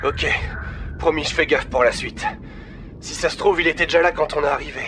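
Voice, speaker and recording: A man's voice: fairly high pitch, fairly even intonation, fairly quick, a bit bright, a little hiss or hum, lightly acted. A man playing a clumsy, casual, sweary submarine crewman in an audio drama. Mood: uneasy.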